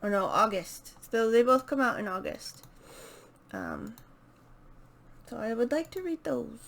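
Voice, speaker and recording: A woman's voice: a little high, wildly swooping pitch, a little slow, vocal fry, a bit bright. A woman chatting casually and unscripted as the host of a video channel, hesitant and thinking aloud as she goes. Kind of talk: casual unscripted book chat to camera.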